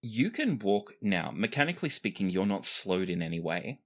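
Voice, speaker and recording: A man's voice: fairly low pitch, very animated, moderate pace, fairly bright tone. A man narrating a tabletop game as game master, conversational and explanatory with chatty asides. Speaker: game master of a tabletop role-playing podcast.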